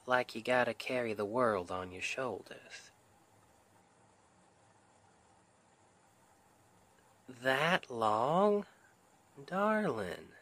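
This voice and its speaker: A man playing a tender, reassuring Southern husband in an intimate ASMR roleplay, with a Southern US accent and a soothing, gentle, often half-whispered delivery. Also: mid-range voice, full character voice. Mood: gently concerned. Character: tender, reassuring Southern husband comforting his stressed wife.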